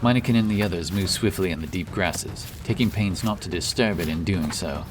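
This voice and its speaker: A man narrating a fantasy story in a measured, solemn, dramatic storytelling manner. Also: sits low, background noise. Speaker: audiobook narrator.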